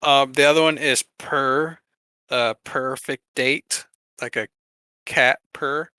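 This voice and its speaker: A man co-hosting a podcast in casual chat, talking haltingly with trailing asides. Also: medium pitch, fairly even intonation, bright, forceful tone, smooth, no echo. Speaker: podcast co-host.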